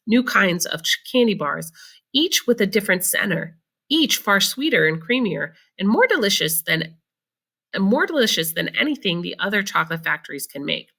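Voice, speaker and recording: A woman voicing a very old, excited grandfather who marvels eagerly in a children's storybook. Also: mid-range voice, normal speed, creaky, full character voice. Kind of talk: children's storybook read-aloud.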